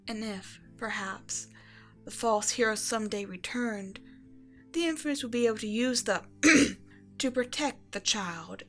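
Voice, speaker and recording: A woman plays a proud evil empress and doting mother in a bedtime audio roleplay. Her voice sits at a medium pitch for a woman, and she tells her tale with measured, self-righteous regal poise. Soft music runs underneath the storytelling. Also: lightly acted. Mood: tender, possessive.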